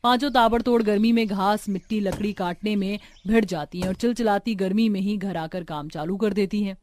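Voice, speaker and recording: A woman's voice: mid-range voice, a little fast, a little mellow, slight background noise. A woman reading scripted narration for a cartoon village family story.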